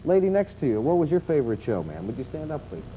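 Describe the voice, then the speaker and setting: An American man works as a conversational television host. His voice is mellow, somewhat high for a man and very animated as he puts questions in a studio, with faint rumble behind. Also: fast talker, low-quality recording. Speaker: talk show host. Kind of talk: conversational interviewing of guest and audience.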